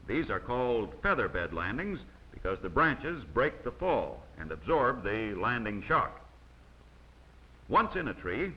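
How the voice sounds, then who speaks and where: A man reading scripted instructional narration in a measured way, as the narrator of a training film. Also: mid-range voice. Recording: dull, noisy old 16mm film soundtrack.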